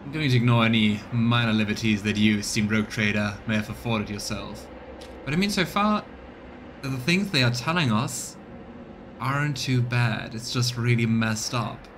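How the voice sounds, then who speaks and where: A man doing live let's play commentary, reading game text aloud and thinking out loud for his viewers. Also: medium pitch, normal speed, noisy background. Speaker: live streamer voicing a game playthrough.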